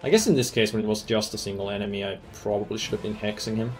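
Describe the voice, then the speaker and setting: A man's voice: on the low side, steady tone, fast, soft background music. A man streaming a fantasy roleplaying game who thinks aloud in casual commentary, with many ums as he weighs loot and rules.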